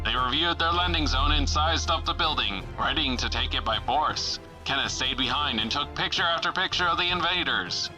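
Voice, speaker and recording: A man's voice: medium pitch, rough recording. A man giving dramatic scripted narration for an old-time adventure serial, in a theatrical announcer manner.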